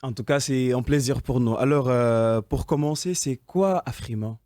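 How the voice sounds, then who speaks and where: A man hosting a radio interview in a studio, friendly and quick to laugh. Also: mid-range voice, mellow, dry recording. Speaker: radio host.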